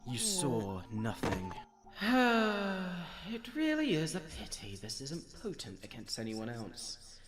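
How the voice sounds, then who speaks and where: A man voicing a pompous, scheming villain doctor in a comic dub, speaking formally and deliberately with theatrical diction. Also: fairly high pitch, wildly swooping pitch, music in the background, exaggerated voice. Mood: controlling, sly.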